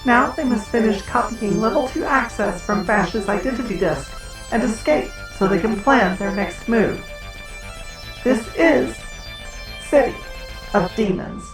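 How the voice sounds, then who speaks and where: A woman's voice: a little high, unhurried. A woman reading a scripted recap as the narrator of a show. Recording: distant, echoey, music behind. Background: theme music.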